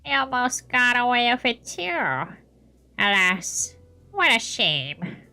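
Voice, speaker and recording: A woman voicing a sly, theatrical male official in a visual novel, with playful mock politeness and drawn-out emphasis. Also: on the high side, very animated, pushed and bright, faint music behind, voice pushed up, exaggerated voice. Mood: mock regret. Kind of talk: visual novel character reading.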